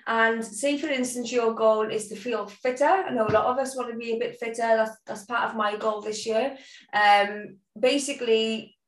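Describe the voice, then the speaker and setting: A woman's voice: on the high side, steady tone, normal speed, distant mic. A woman co-hosting a podcast, chatting casually with giggles and fillers. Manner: giggly, rambling with lots of fillers. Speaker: podcast co-host. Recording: echoey, a bit off-mic.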